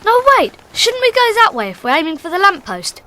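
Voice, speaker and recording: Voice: very high-pitched, huge pitch swings, a little fast, pushed and bright, a little hiss or hum, exaggerated voice. A performer playing a sulky, sneaky younger schoolboy in a radio drama. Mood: flustered.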